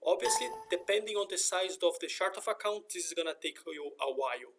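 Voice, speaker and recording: A man narrating a step-by-step software screen tutorial in a methodical, explanatory manner. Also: sits high, expressive, fairly quick, background noise. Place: voice-over for a screen recording.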